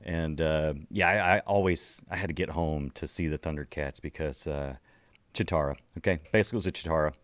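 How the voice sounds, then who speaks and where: A middle-aged man with a low voice hosts a casual podcast, recorded close and dry. He rambles in a jokey way, circling back over his phrases. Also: brisk, no echo. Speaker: podcast host. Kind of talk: casual nostalgic chat.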